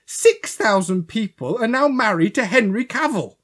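A woman playing a stern, righteous archangel in a comic audio drama, husky, emphatic and reproving. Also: a little high, animated, moderate pace. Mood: outraged.

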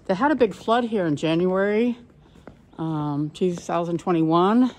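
A woman's voice: on the low side, measured pace, a little mellow. A woman narrating a walking tour outdoors, chatting casually and pointing out the sights as she films. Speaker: tour guide. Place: outdoors on old town streets.

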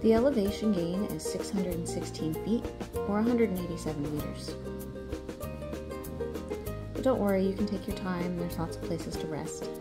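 A woman hosting a travel vlog, reading scripted narration in a measured, informative way. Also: lowish voice. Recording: loud music under the voice. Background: music.

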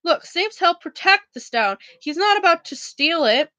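A man's voice: very high voice, sing-song, normal speed, sharp tone, no echo, exaggerated voice. A woman voicing a big, proud, bumbling half-giant gamekeeper in a storybook read-aloud, blustering in rambling bursts. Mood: gruff, chatty.

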